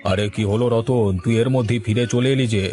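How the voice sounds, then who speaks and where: A man voicing a stern, commanding village man in a cartoon, threatening and brisk. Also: mid-range voice, fairly even intonation, mellow, faint music behind, natural voice. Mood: angry. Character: stern bossy village man, middle-aged. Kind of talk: Bengali cartoon voice acting.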